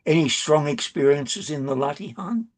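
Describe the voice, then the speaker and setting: An interviewer asking gentle follow-up questions and giving brief encouraging replies. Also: mid-range voice, moderate pace. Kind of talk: conversational interview.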